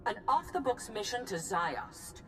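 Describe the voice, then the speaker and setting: An actress playing an imperious, hawkish chancellor who speaks in a cutting, commanding way in a game cutscene. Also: female voice, on the high side, very animated, a little slow, faint music behind, low-quality recording. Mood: angry, commanding. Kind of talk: video game cutscene acting. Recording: faint background noise.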